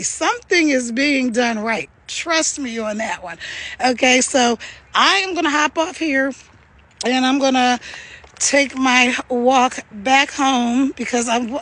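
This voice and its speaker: A woman with a gravelly yet high voice chats straight to her phone while out walking. Her talk is upbeat and breezy, broken by easy laughs, and the sound is close and dry. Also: fairly bright tone, no room sound. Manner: chatty, upbeat, laughs often. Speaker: online business vlogger. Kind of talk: casual direct-to-camera pitch. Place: outdoors, walking. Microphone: phone held by hand.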